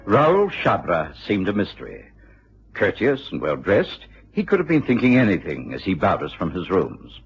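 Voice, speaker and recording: An English actor playing a courteous gentleman doctor and storyteller in a vintage radio drama. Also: deep voice, huge pitch swings, normal speed, a little hiss or hum, heavily acted. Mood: measured storytelling. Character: Doctor Watson, courteous English gentleman narrator, easily astonished. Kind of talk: 1950s BBC radio drama acting and narration. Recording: dull vintage radio recording, music.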